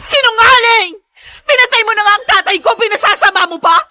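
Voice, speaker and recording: An actress playing a furious, grieving young daughter, accusing and tearful in a radio drama. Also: female voice, very high voice, fairly quick, sharp tone, heavily acted.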